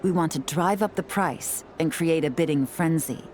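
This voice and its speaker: A woman voicing a cool, low-voiced spy. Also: a little hiss or hum, natural voice. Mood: composed. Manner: calm, measured, self-assured. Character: cool, composed female spy and tea house owner. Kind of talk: video game dialogue. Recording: game audio with faint music.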